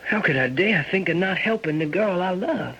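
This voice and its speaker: An actor playing an anguished young farm son in a radio drama, urgent and plain-spoken. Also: male voice, high voice, lively intonation, moderate pace, fairly bright tone, breathy edge, heavily acted. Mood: anguished, defiant. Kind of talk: old-time radio drama acting. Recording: slightly noisy radio drama recording.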